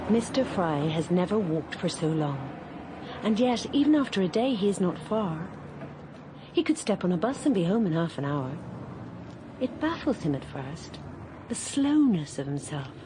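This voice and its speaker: A woman's voice: on the low side, noisy background. Woman narrating a radio drama. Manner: measured, even storytelling.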